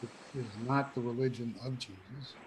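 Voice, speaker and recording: Hoarse male participant, quiet, trails off, faint noise behind. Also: medium pitch, moderate pace, low-quality recording. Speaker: webinar participant. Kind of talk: casual discussion on a video call. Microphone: video call.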